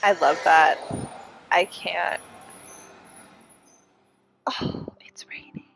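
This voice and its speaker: A woman's voice: on the low side, drawn-out words, gravelly, a bit bright, in a whisper, rough recording. A woman streaming a game with casual, playful commentary, sounding congested. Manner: playful, giggly, exclamatory. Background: game music.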